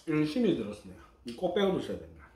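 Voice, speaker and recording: A man's voice: medium pitch, huge pitch swings, rapid-fire, mellow. A middle-aged man giving casual commentary while eating at home, in short remarks between bites. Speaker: mukbang vlogger. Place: small room at home, indoors. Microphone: camera across the dining table. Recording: echoey room, faint background noise.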